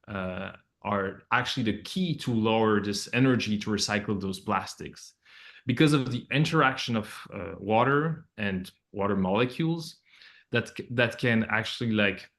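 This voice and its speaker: Low-voiced man. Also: a bit bright. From Belgium. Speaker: project scientist, interview guest. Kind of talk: explanatory interview answers.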